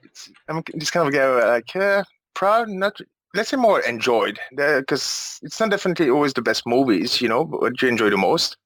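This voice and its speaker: Relaxed Swiss man, dry sound. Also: mid-range voice, fairly quick, dry recording. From Switzerland. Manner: relaxed, many fillers, laughs easily. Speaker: guest, visual effects artist. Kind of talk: casual interview chat. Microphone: call microphone.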